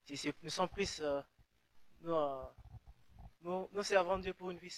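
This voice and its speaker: Young man, slightly high voice. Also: measured pace, rough recording. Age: young adult. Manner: earnest, repeats words while searching. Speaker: guest.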